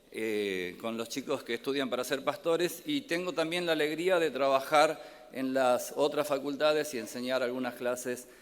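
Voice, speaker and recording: Argentine pastor from Córdoba, hesitant, reverberant hall. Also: male voice, mid-range voice. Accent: Argentine Spanish, Córdoba. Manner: gentle, warm-hearted, many filler "eh" pauses. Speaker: pastor and Bible professor. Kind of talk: sermon. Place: large church hall.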